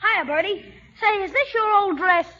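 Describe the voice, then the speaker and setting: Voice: extremely high pitch, normal speed, pushed and bright. An actor playing a sly, wisecracking schoolboy nephew with mock innocence in 1940s radio comedy style. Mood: innocent, sly. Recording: dull 1940s radio recording.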